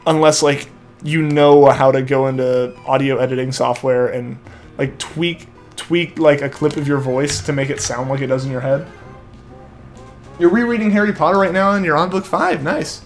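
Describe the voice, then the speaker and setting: A young man rambles through a live game stream, reading viewer comments aloud and padding nearly every phrase with "like". His voice sits a little high for a man and comes through a headset mic. Faint game music plays underneath. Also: normal speed. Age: young adult. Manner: rambling, filler-heavy, keeps saying like. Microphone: headset mic feeding back to headphones.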